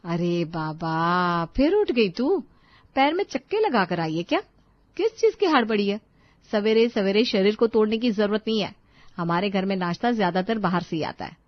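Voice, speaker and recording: A woman's voice: mid-range voice, sing-song, normal speed, no room sound, muffled recording, lightly acted. A woman voicing an affectionate, bossy mother-in-law in a family storybook tale, teasing and fondly scolding.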